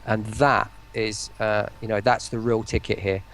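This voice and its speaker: A man with a fairly low voice and a strong gravelly creak, chatting informally on a livestream. He talks like a developer explaining work in progress to fellow guests. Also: little pitch movement, measured pace, fairly bright tone. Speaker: voice pack developer, interview guest. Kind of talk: casual livestream discussion.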